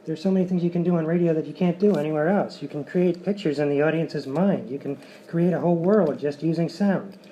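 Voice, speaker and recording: A man plays an eager radio comedy presenter. He talks fast in an earnest, insistent, explanatory way, with faint noise behind him. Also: a little high, warm tone, lo-fi sound, natural voice. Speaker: show host. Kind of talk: live radio sketch comedy.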